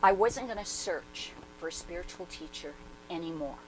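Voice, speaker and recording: A woman playing a skeptical, hard-boiled former war correspondent, speaking in a candid, halting first-person monologue for a dramatic reading. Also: fairly low pitch, expressive, darkish tone, faint music behind, lightly acted, low-quality recording. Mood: matter-of-fact.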